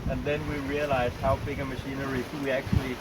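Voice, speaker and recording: A man with a Danish accent, a rescued sailor speaking haltingly over a boat radio, repeating words with many pauses. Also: mid-range voice, little pitch movement. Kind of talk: radio call. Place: on a sailboat at sea. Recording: windy distant radio audio. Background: wind and sea noise.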